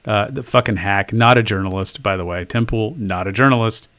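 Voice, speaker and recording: A man's voice: lowish voice, moderate pace, fairly bright tone. A man co-hosting a podcast in casual chat, joking and swearing freely, often trailing off into fillers. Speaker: podcast co-host.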